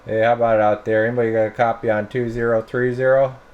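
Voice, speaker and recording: A man's voice: mid-range voice, quick pace, highs cut off. An American man giving a casual hands-on demonstration as a radio technician. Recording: steady noise behind the voice. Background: clear background noise.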